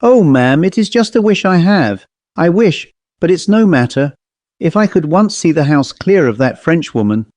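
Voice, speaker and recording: A man's voice: a little high, big pitch swings, moderate pace, darkish tone, dry recording, pitched above the performer's own voice. A man voicing a tearful, fretful young maid who pleads and keeps repeating "ma'am". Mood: pleading. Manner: pleading, breathless repetition of ma'am.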